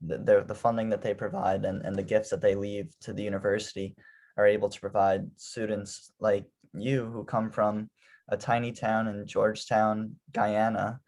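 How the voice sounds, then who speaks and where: A man's voice: on the low side, little pitch movement, normal speed, darkish tone. A young man interviewing a classmate, friendly and hesitant, with plenty of ums and likes. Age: young adult. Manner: friendly, hesitant, many fillers. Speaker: interviewer, graduate assistant. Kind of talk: casual interview.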